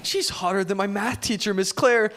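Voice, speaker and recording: An excitable, lovestruck teenage boy who gushes and squabbles, read by an actor. The voice is mid-pitched. It comes from a live script table read. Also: normal speed, natural voice.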